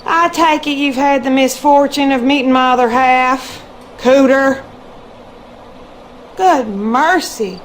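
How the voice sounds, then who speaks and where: An actress playing a hospitable, sardonic long-suffering wife in a video game, with a genteel Southern American drawl. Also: female voice, high-pitched, slow pace, long pauses, a little hiss or hum. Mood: exasperated. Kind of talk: game character dialogue.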